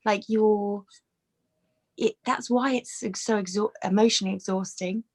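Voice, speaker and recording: A woman's voice: medium pitch, fairly even intonation, a little mellow. Chatty woman on a video call. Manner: chatty, halting, many restarts and fillers. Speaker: podcast guest, fitness trainer. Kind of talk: casual conversational interview. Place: bedroom with brick wall. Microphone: video call webcam.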